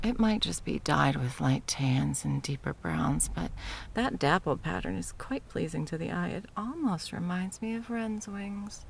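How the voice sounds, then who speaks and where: A woman's voice: on the low side, creaky, full character voice. A woman playing a haughty, sadistic sorceress tyrant in a fantasy audio roleplay, with a theatrical, mocking and condescending delivery. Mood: condescending, amused. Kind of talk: scripted ASMR fantasy roleplay.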